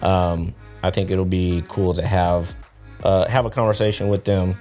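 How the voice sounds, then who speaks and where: A very low-voiced man rambles easily as a podcast guest, piling up fillers as he thinks. Faint music sits underneath. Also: moderate pace. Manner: relaxed, rambling with many fillers. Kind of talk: casual podcast chat.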